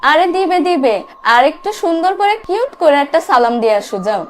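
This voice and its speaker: A woman's voice: extremely high pitch, big pitch swings, brisk. A woman voicing a bossy, scheming older kid in a cartoon who talks in a wheedling, persuasive way. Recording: music bed under the voice.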